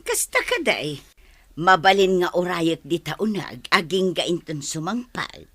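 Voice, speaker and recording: A woman's voice: on the low side, very animated, unhurried, a bit bright, exaggerated voice. A woman acting an idle, money-hungry older village woman in a radio drama, with an Ilocano accent and a conniving manner. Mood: sly, eager.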